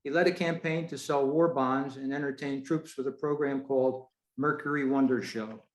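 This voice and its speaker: A man's voice: mid-range voice, fairly even intonation, normal speed. A man presenting a prepared talk to a club over a video call, in a roomy-sounding space.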